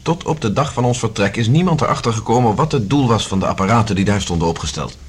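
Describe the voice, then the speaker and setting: A man's voice: fairly low pitch, animated, quick pace, breathy edge. A man acting a sober, cautious professor giving formal reports in a science-fiction radio drama.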